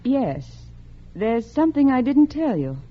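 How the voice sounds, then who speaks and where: A woman's voice: high-pitched, big pitch swings, a little slow, heavily acted. An actress playing a coldly calculating murderous wife who confesses and narrates her crime in a vintage radio drama. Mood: guarded calm. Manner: composed, calculating. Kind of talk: 1940s-1950s American radio suspense acting. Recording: muffled old radio recording with music.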